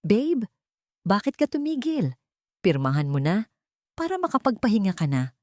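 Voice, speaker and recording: A woman's voice: medium pitch, very animated, moderate pace, warm tone, no room sound, studio quality, pitched above the performer's own voice, lightly acted. Woman acting a coaxing, scheming husband. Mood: impatient, coaxing. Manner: coaxing, pushy. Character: scheming husband, falsely tender, impatient and commanding. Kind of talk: audiobook drama acting.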